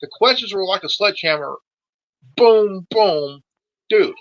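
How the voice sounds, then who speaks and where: A man in his forties talks politics casually in a forceful, slightly high voice. He is emphatic and salty, often repeating words as he gets to his point. The sound is dry and a little dull. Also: animated, measured pace, no room sound. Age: 40s.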